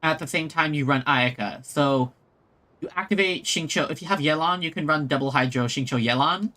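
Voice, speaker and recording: A man chatting casually as he streams a game, halting as he thinks aloud. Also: on the high side. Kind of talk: casual chat while playing a game. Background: soft game music.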